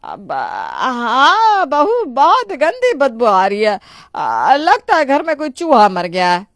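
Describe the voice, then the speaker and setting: A woman's voice: high voice, sing-song, slow, fairly bright tone, no room sound, clean studio sound, heavily acted. A woman voicing a stubborn, greedy older mother-in-law in a moral story, bossy and exclamatory with a whining edge. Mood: evasive. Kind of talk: Hindi moral story voice acting.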